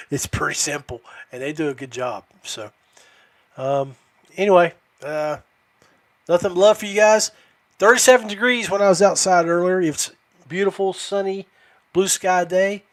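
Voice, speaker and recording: Halting, folksy man with higher-pitched voice. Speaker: podcast host. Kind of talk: casual livestream chat.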